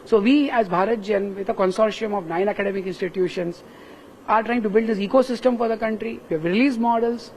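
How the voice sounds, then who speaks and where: A man's voice: high voice, brisk, darkish tone, slight background noise, rough recording. A man with an Indian English accent, a professor explaining his work in an interview in an earnest, step-by-step way. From Kerala, India. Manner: earnest, explains step by step with frequent "right?" tags. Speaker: professor interviewed at a tech summit. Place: busy summit venue.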